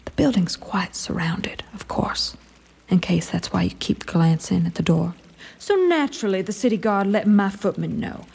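A woman's voice: mid-range voice, lively intonation, normal speed, creaky, a little mellow, husky, exaggerated voice. A woman playing an elf lord commander in an audio drama, formal and falsely sweet, with sly menace under mock kindness. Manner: mock-kindly, formal, sly, drawling emphasis.

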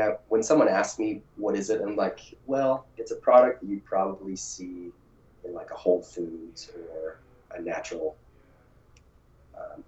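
Male guest with a cold, very low, raspy and froggy, relaxed; echoey room. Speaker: podcast guest, company founder. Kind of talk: casual interview chat. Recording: echoey, dull audio.

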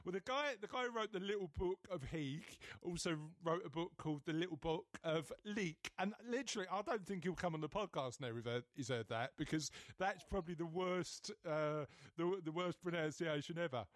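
A man from East London with a London accent, hosting a podcast interview in a chatty, self-mocking way with halting restarts. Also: a little high, lively intonation, no echo. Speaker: podcast host. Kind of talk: casual interview chat.

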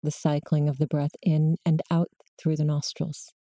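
Very mellow, low-voiced woman guiding meditation. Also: flat delivery, dry recording, clean studio sound. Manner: slow, measured, with long pauses between short prompts. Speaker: meditation guide. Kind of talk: guided body scan meditation.

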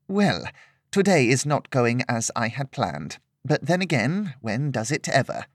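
A man voicing a stern, proud older female sword mistress in a fantasy audiobook, speaking in a measured, dignified way. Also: mid-range voice, very animated, no room sound, studio quality, pitched above the performer's own voice.

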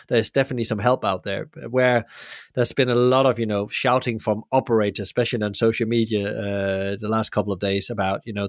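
Male host from Denmark, lowish voice, hesitant and filler-heavy, dry close sound. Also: no room sound. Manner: hesitant, many fillers and restarts. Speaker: interview host. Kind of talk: conversational interview.

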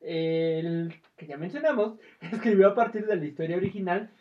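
Casual podcast host, female, Mexican accent, lowish register, playful and informal, slightly muffled sound. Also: lively intonation, normal speed. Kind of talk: casual chat retelling film trivia and tales. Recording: dull, lo-fi audio.

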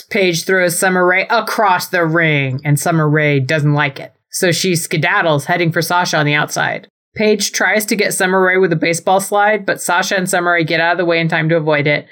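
Lady podcast host w a lowish voice, excited, sarcastic, swears, casual recap. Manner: sweary, playfully sarcastic, enthusiastic.

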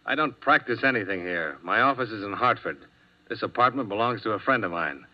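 An actor playing a steady, clipped insurance investigator who also narrates, in a 1950s radio drama. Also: male voice, fairly low pitch, fairly quick, lightly acted. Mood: firm. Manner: cool, firm, clipped. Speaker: narrator and lead detective. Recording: dull lo-fi old radio broadcast.

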